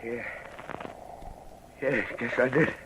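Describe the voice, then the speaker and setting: An actor with an Australian accent playing a cornered, rueful small-time gunman who talks roughly in an old radio crime drama. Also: mid-range voice, fairly even intonation, slow pace, background noise, natural voice. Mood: confessing, resigned.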